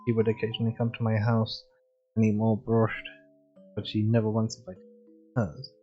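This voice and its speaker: A woman's voice: a bass voice, completely flat delivery, brisk, dull audio, soft background music. A woman live-streaming, reading visual novel narration aloud and reacting casually. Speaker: game streamer.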